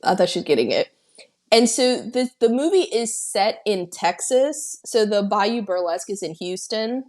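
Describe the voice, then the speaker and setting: A woman co-hosting a casual podcast about films. Her voice sits at an ordinary middle pitch, and she walks through the plot in a chatty way, breaking into lively asides. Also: a little slow. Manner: chatty, retells scenes with excited quoted asides. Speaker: podcast co-host. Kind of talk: casual film-recap chat.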